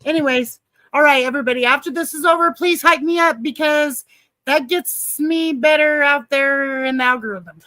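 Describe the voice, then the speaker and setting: A woman's voice: high-pitched, normal speed, pushed and bright, no echo, low-quality recording. A woman hosting a casual livestream chat, playful and chatty, laughing often. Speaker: host.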